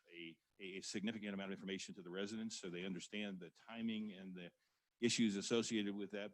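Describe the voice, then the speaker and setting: A man presenting an engineering report at a town council meeting, speaking haltingly with many pauses and fillers. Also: sits low, poor audio. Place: council chamber.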